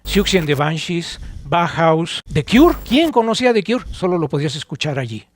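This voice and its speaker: A middle-aged man with a Mexican accent co-hosting a podcast, reminiscing conversationally in a nostalgic, digressive way with halting phrases. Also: on the high side, sing-song, slight background noise. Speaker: podcast co-host.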